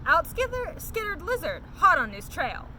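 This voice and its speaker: High, lively woman reading a children's story. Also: moderate pace, slight background noise, low-quality recording. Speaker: story time host and reader. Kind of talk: animated read-aloud of a children's picture book.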